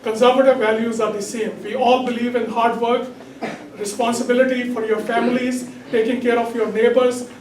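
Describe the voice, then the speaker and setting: High-pitched hoarse Pakistani man speaking in hall. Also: flat delivery, normal speed, darkish tone. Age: middle-aged. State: hoarse, bad throat. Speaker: political candidate. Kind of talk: campaign speech to a community audience. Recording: echoey hall, distant mic, background noise.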